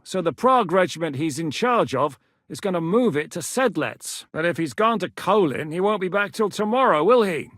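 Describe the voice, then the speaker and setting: A blunt, battle-hardened war commander from a video game, handing out orders in a voice pitched a little above the usual for a man. He talks plainly and commandingly and swears without a second thought. The studio sound is dry and close, with no room echo. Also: animated, moderate pace, hardly any pauses, fairly bright tone. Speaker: military commander. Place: studio booth.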